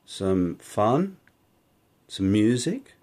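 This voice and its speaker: Low-voiced man, rambling podcast host. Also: very animated, drawn-out words, a little mellow. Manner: rambling, self-correcting, laughs often. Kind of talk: unscripted podcast chat. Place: small recording studio. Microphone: studio microphone.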